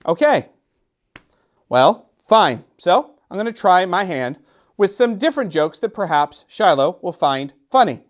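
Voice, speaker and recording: High-voiced, playful middle-aged man. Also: a little slow. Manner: playful, self-deprecating, animated. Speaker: storytime host. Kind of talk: casual chat to children, joking.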